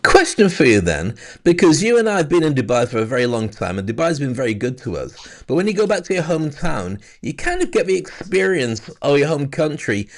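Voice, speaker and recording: Gravelly British man hosting a chat. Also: fairly high pitch, sing-song, brisk, few pauses. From Britain. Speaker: host. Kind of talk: casual live-stream chat. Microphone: video call.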